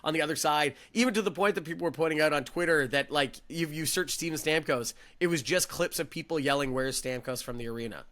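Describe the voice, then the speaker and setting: A man co-hosting a casual sports podcast, enthusiastic and quick to laugh. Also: on the high side, a bit bright. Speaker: podcast co-host.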